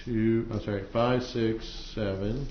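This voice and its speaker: An American man presiding as a judge in a courtroom, speaking in an easygoing, halting, conversational way to lawyers and jurors. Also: lowish voice, fairly even intonation. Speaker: judge presiding over a trial. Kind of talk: courtroom proceedings, informal instructions to jurors. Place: indoor courtroom. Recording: echoey, muffled courtroom audio with noise.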